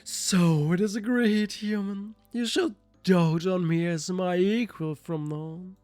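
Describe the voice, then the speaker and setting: Haughty talking cat, acted out by a game player, formal, imperious, demanding, mid-pitched, visual novel reading, faint music. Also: fairly even intonation, slow pace, a little mellow, no echo, natural voice. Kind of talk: visual novel character read-aloud.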